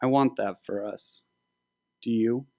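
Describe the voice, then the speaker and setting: A man's voice: medium pitch, slow pace, creaky, mellow. A man playing an ardent soldier in a biblical story, urgently pleading with his secret male lover. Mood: urgent, hopeful.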